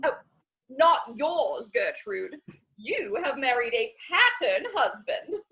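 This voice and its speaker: Very high-pitched, gushing society matron. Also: female voice, very animated, slow, gravelly, sharp tone, full character voice, low-quality recording. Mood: gossipy, airy. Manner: gushing, rambling, theatrical. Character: chatty, scatterbrained society matron, gossipy Victorian lady. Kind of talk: stage comedy dramatic reading.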